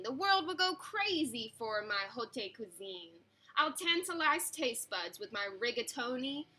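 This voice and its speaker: Lady reading as eager little storybook boy, eight, bragging in grand rhymes, high bright voice. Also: heavily acted. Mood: proud, grand. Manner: excitable, grandly declaiming in rhyme. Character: eager, imaginative, boastful eight-year-old schoolboy in storybook.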